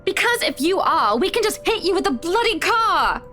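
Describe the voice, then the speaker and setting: A woman's voice: extremely high pitch, very animated, moderate pace, bright, forceful tone, soft background music, exaggerated voice. An actress playing an indignant wife in a visual novel game, scolding and pointed as she stands up to her husband. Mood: alarmed, scolding.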